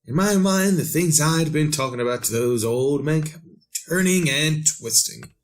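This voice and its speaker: An actor playing an obsessed, bewildered man in a retro radio drama, with halting, melodramatic delivery. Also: fairly high pitch, expressive, lightly acted. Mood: haunted, uneasy. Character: obsessed, bewildered man craving knowledge of the future.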